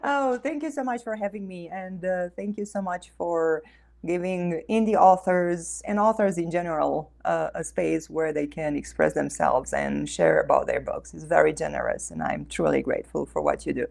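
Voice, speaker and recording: A woman from Romania with a Romanian accent, speaking earnestly and warmly as an author guest in an interview. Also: lowish voice, moderate pace, vocal fry. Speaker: author and life coach guest. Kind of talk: interview conversation.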